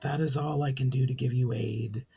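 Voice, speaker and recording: A man voicing a solemn, cryptic female spirit of fire in a dramatic fantasy reading, with formal, measured, old-fashioned speech. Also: medium pitch, fairly even intonation, warm tone, slightly rough. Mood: grave, instructive. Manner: formal, measured, archaic phrasing.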